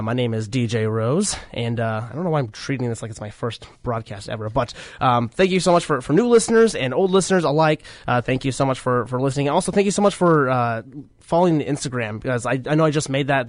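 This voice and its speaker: A man in his twenties hosting a college radio show, his voice deepened by illness, rambling with many fillers and joking at his own expense. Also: dry recording. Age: 20s. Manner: rambling, many fillers and restarts, self-mocking jokes. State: sick, voice deeper than usual. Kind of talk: casual radio chat.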